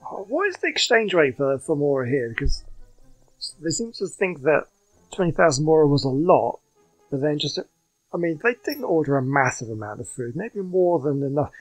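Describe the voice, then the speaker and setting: A man's voice: fairly high pitch, poor audio. A man giving casual, chatty commentary as he plays a game, thinking aloud and reading choices out. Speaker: gaming streamer.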